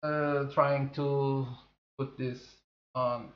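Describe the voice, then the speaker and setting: Slow-talking Portuguese-native man reviewing gadgets. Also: mid-range voice, flat delivery. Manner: slow, chatty, thinking aloud with many fillers. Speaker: tech reviewer host. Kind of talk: product review. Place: studio room at a desk. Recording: echoey room, slightly dull audio.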